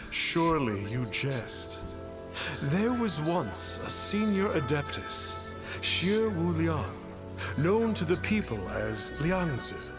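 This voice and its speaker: A man voicing a pompous video game sage who poses as a divine master and speaks in measured, archaic phrases. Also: a little high, very animated. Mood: lofty. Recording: music under the voice.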